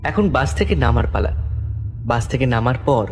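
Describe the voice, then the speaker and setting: A man's voice: mid-range voice, expressive, normal speed, heavy background noise. A man narrating a scripted horror story in the first person.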